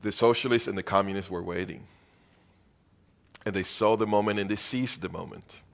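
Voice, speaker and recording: A man's voice: lowish voice, fairly even intonation, normal speed, gravelly. A middle-aged man from Venezuela preaching a sermon as pastor, earnest and emphatic, repeating phrases for weight.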